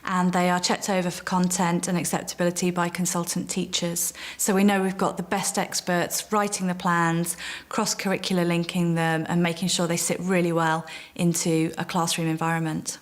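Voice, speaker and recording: A woman speaking for a programme in an interview, steady and matter-of-fact. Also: fairly low pitch, normal speed, hardly any pauses. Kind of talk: interview answers. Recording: faint music behind.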